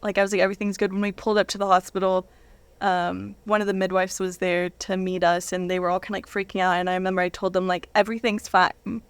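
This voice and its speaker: Woman in her 30s telling a personal story, super informal, mid pitch, really dry sound. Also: fast talker, clear, resonant tone, no room sound. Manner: informal, animated anecdotes peppered with "like". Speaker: interview guest.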